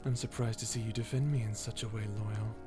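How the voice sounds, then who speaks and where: Edgy male vampire, played by a woman: deep for the performer, smug drawl, dry sarcasm, audio drama. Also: fairly even intonation, fairly quick, music in the background. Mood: incredulous, sarcastic. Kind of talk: character audio roleplay.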